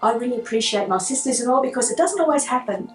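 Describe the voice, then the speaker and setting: A woman speaking warmly and reflectively about her family in a casual interview. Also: on the high side, expressive, fast talker, faint music behind. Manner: warm, reflective. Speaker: sister-in-law in the family. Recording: echoey room heard from far off.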